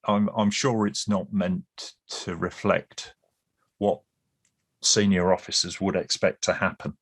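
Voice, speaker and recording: A man with a fairly low voice lays out his points at length in a relaxed podcast discussion. He restarts his phrases often and slips in dry humour. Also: measured pace. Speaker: guest expert on Cold War British Army.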